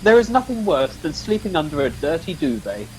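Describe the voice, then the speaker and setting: Man as sergeant, animated pitch, polite, fussy, comic radio play, faint noise. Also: fairly quick, rough recording. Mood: politely fussy. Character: mild, polite, fussy male starship sergeant.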